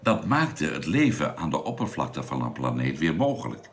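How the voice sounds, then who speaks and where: Radio drama role: knowledgeable space crewman, very low voice, swooping intonation, measured delivery, some background noise. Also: very animated, exaggerated voice. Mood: explanatory. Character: knowledgeable male space crewman explaining calmly. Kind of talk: Dutch science fiction radio drama acting.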